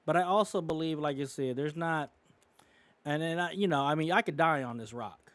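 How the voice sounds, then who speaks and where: A man's voice: a little high, moderate pace, fairly bright tone, no echo. A man from California co-hosting a podcast, talking in a casual, opinionated way with many fillers and restarts. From California, United States. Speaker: podcast co-host.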